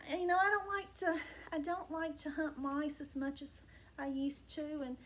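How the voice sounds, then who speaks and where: A woman voicing an old female cat who sounds tired and gentle, speaking softly and haltingly. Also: high voice, steady tone, close to an everyday voice. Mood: weary. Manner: soft, rambling and hesitant.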